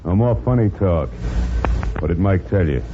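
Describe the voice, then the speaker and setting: A man's voice: sits low, lively intonation, normal speed. An actor playing a brutish, short-tempered gangster henchman with blunt, menacing talk, in 1940s radio style. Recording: muffled, noisy 1940s radio recording.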